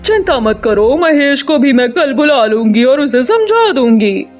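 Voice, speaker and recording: A woman plays a powerful cartoon witch with a high voice. She is commanding yet protective, declaiming over faint music. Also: very animated, a little slow. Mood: reassuring. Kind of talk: Hindi cartoon story dubbing.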